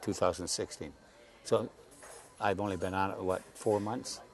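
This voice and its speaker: Old guy in his 80s on a talk show, kinda low voice, slow and halting, keeps restarting. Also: fairly even intonation, vocal fry. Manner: slow, halting, repeats words while searching. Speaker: guest. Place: Saipan.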